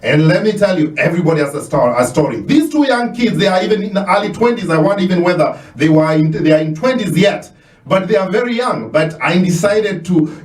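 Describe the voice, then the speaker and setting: A man with a Kenyan accent hosting a radio interview in a studio, exclaiming often and talking with animated, effusive energy. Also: sits high, reverberant, distant mic. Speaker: radio show host.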